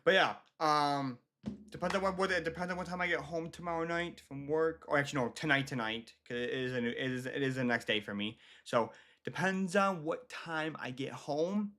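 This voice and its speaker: An American man from Michigan chatting casually to his livestream viewers, halting and often repeating himself. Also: on the high side, sharp tone. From Michigan, United States. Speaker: livestream host.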